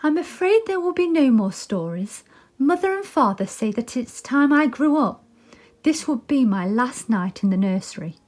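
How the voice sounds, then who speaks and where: A woman's voice: sits high, expressive, moderate pace, darkish tone, pitched above the performer's own voice. A woman voicing an earnest, trusting young girl full of wonder in a children's storybook. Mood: sad. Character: excited, trusting young girl, eldest sister.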